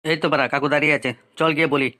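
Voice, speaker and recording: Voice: medium pitch, flat delivery, rapid-fire, pushed and bright, lightly acted. A man voicing a brash, foul-mouthed young troublemaker in a comic cartoon, cocky and coarse. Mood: wheedling.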